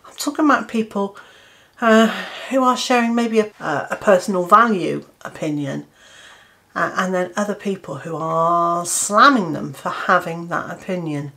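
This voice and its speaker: A woman's voice: lowish voice, normal speed, pushed and bright. A British woman in her fifties, a gardening channel host, giving an earnest opinion piece to camera with hesitant restarts and fillers. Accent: British English. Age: 50s.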